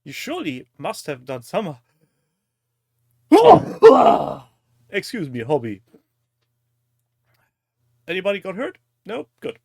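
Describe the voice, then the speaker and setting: A man's voice: sits high, wildly swooping pitch, slow, creaky, pauses often, darkish tone, slightly rough, no echo. A man from Germany with a German accent, a native German speaker, hosting a casual live-stream chat in a jokey, teasing manner. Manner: jokey, crude humour, teasing.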